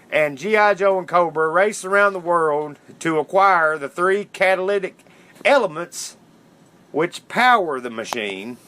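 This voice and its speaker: A man's voice: sits high, sharp tone. A man hosting a solo podcast, talking casually in an informal, loosely worded enthusiast's way. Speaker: podcast host. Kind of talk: casual solo podcast talk, retelling cartoon history.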